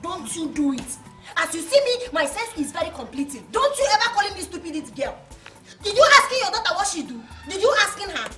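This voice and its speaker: Woman playing a shrill brash village scold. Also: very animated, brisk, bright, forceful tone, reverberant, faint music behind, exaggerated voice. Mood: boastful threats. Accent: Nigerian. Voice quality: Nigerian pidgin, threatening and scolding. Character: brash boastful village woman, hot-tempered. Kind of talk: comedy skit acting.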